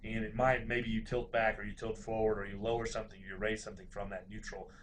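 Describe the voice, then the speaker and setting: A man's voice: lowish voice, level tone, fast, slight background noise. A man giving an informal university lecture, explaining things in a hesitant, hedging way.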